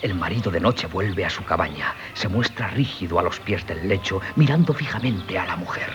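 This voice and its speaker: An actor playing an earnest, polite and sickly young would-be sculptor in a Spanish radio play, with a Castilian accent and hesitant delivery. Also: male voice, low voice, animated, moderate pace, noisy background. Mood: dreamy, intense. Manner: polite, hesitant, deferential storyteller. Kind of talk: 1970s Spanish radio theatre acting. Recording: 1970s radio drama with music.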